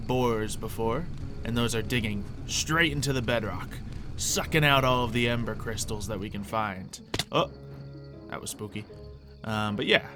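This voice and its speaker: A man hosting a gameplay video with playful, chatty, theatrical commentary that often interrupts itself. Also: medium pitch. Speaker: gaming video host. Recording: music behind the voice. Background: music.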